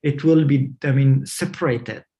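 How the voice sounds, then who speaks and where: Slow, halting man. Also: mid-range voice, dark tone. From Afghanistan. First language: not English. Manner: halting, many fillers and restarts. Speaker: interview guest. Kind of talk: remote interview answers.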